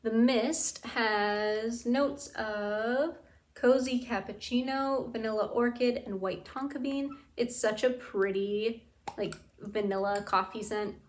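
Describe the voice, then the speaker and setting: A woman vlogging in a casual, chatty way about bath products, her voice tired and a bit raspy from talking. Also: on the high side, takes it slowly, slight background noise. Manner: enthusiastic, gushing, lots of "like". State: tired, slightly raspy throat. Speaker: beauty and scent vlogger. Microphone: camera mic.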